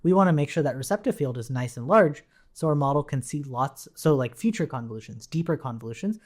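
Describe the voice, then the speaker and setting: A man giving an informal coding tutorial, explaining step by step in a conversational way and often checking in with a quick "right?". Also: a little high, animated. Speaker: programming instructor.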